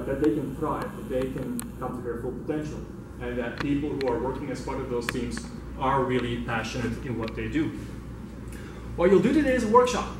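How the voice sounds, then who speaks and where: A man from Belgium gives an informal talk as a workshop facilitator, speaking conversationally and engaging his listeners. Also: medium pitch. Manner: conversational, engages the audience with questions. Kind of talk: informal talk to a meetup audience. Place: indoor meetup room. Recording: very echoey, noisy room, far from microphone.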